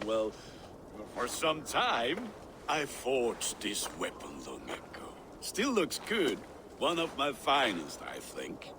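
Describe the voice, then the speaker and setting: A man's voice: mid-range voice, noisy background. A man playing a hearty, sentimental Viking blacksmith in a video game, speaking. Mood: delighted, nostalgic. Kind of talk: video game character acting.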